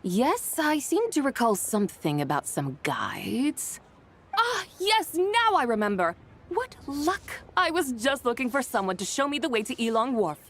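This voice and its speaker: A woman's voice: high voice, very animated, unhurried, fairly bright tone, heavily acted. A woman voicing an imperious, eccentric immortal lady in a video game, speaking in a haughty, formal, theatrical way. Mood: recalling. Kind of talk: English video game voice acting.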